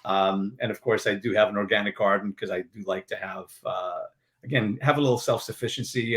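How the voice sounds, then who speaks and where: A man from Portugal, a guest on a podcast, telling stories in a friendly, relaxed way. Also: fairly low pitch. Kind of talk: casual interview chat.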